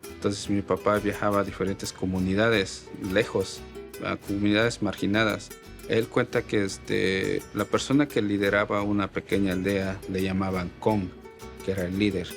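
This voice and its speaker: Low raspy voiced guy from oaxaca, mixe, thoughtful, lots of "este". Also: flat delivery, moderate pace, soft background music. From Oaxaca, Mexico. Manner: reflective, with frequent "este" fillers. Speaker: artist. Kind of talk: interview answers.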